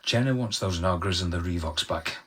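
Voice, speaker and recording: A man's voice: low voice, a little fast, fairly bright tone, husky. An actor playing a blunt, dismissive male technician in a radio drama.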